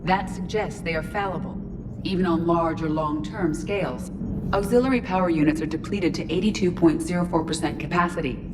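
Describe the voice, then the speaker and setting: A woman voicing a calm, precise female ship AI that reports facts in a clipped, even way. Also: on the low side, brisk, close to an everyday voice. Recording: game audio under loud background noise.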